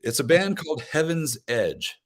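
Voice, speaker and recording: A man's voice: a little high, big pitch swings, moderate pace. A man chatting casually as a guest, rambling, joking and often cutting himself off. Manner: rambling, self-interrupting, joking. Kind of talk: casual chat about concerts.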